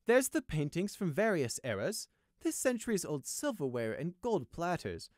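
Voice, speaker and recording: Man as rich storybook unicorn, male, pompous, boastful, airy drawl, very animated pitch, dry sound. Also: dry recording. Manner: airy, self-satisfied, drawn-out listing.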